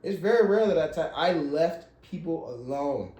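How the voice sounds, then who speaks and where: Dude in his 30s, podcast chat, voice a bit high, repeats himself, earnest, echoey room. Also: animated, moderate pace, warm tone. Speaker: podcast co-host. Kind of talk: casual chat.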